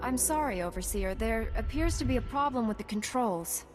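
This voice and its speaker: An actress playing a composed, earnest young Jedi knight in a video game, measured and polite. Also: female voice, fairly high pitch, expressive, over music, close to an everyday voice. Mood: feigned deference. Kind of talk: video game cutscene acting.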